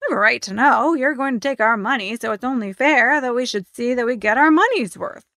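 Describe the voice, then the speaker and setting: A woman's voice: fairly high pitch, a little fast, fairly bright tone, no echo, clean studio sound. A woman voicing a stout, suspicious American businessman in a story, blunt and insistent. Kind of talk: audiobook character reading.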